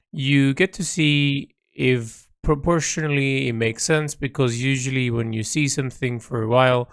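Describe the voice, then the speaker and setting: A man's voice: medium pitch, clean tone, no room sound, clean studio sound. A man speaking hesitantly and reflectively, thinking aloud in an unscripted audio diary as an illustrator. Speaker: illustrator keeping an audio log.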